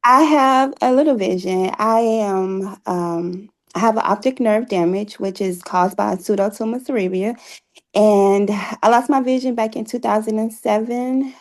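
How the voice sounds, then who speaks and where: A woman chats as a guest in an interview. Her voice sits at a middle pitch with noticeable creak. She talks effusively and laughs easily. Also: unhurried. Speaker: interview guest, author and playwright. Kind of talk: casual interview conversation.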